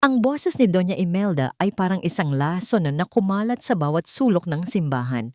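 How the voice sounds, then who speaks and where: A woman's voice: fairly low pitch, big pitch swings, normal speed, a little mellow, dry recording, clean studio sound. A woman narrating a scripted romance drama in a dramatic, literary storytelling manner.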